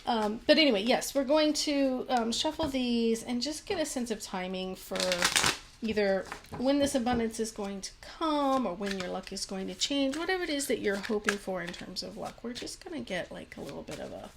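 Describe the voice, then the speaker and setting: A woman's voice: on the high side, lively intonation, normal speed, gravelly, noisy background. A young woman giving a pick-a-card tarot reading, talking in a rambling way with many fillers and restarts, her voice a little worn. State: slightly losing her voice. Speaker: tarot reader.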